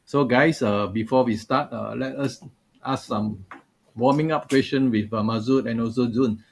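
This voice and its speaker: A man's voice: mid-range voice, normal speed, creaky, slight background noise. A man hosting a live webinar over a video call, talking loosely and padding his sentences with "uh" and "you know". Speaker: webinar host.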